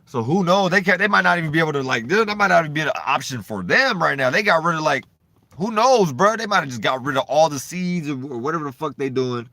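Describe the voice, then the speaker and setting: A man vlogging in a loose, profane, rambling monologue, swinging between animated bursts and low mumbling. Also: fast talker, dry recording. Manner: loose, profane and repetitive, full of "you know what I'm saying".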